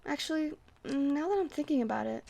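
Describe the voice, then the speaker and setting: A woman playing a friendly vampire bartender who rambles gently and whimsically in an ASMR roleplay. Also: sits high, animated, fairly quick, gravelly. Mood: chatty, sheepish.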